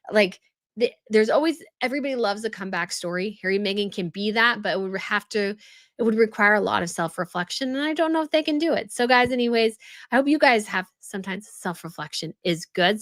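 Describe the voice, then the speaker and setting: A woman's voice: mid-range voice, a bit bright, dry recording, clean studio sound. A young woman hosting a casual live stream, chatting and answering viewer comments with many fillers and restarts. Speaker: host of a royal news live stream.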